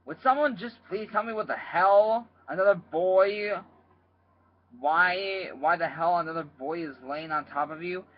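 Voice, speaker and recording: A man doing a furious shouting father. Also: medium pitch, a little fast, dull audio, lightly acted. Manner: shouting, demanding. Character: angry middle-aged father, loud and harsh.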